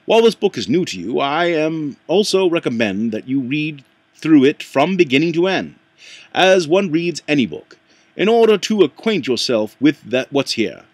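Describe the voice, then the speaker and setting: A man with a slightly high, very animated voice reads a book aloud. He goes haltingly, stumbling and correcting himself, and puts on an accent. The sound is dry and close. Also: dry recording. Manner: halting, stumbles and rereads lines; puts on an accent while reading. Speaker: amateur reader.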